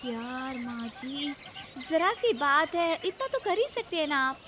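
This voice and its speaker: A woman voicing a nagging, cheeky young daughter-in-law in a cartoon story, pleading and insistent, quick to talk back. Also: high voice, lively intonation, bright, forceful tone, slight background noise, exaggerated voice. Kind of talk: acted children's cartoon story.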